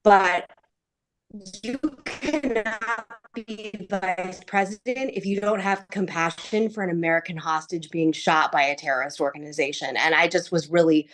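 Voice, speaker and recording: A woman's voice: fairly low pitch, normal speed, fairly bright tone, low-quality recording. A woman calling in to a political discussion on a video call, speaking casually, personally and emphatically. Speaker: caller and pro-Israel advocate.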